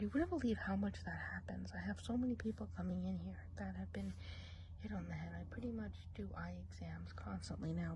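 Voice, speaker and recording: A woman's voice: medium pitch, mellow, a little hiss or hum, natural voice, rough recording. A woman playing a gossipy, nosy woodland witch in an ASMR role play. She mostly whispers and chats on as she gossips.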